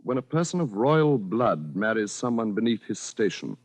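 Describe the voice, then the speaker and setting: A man's voice: fairly low pitch, very animated, normal speed, a little mellow. An actor playing a furtive, evasive married Englishman with delusions of station, speaking formally and hesitantly in 1940s radio suspense style. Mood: weary, formal. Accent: British. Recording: vintage radio drama recording, faint music.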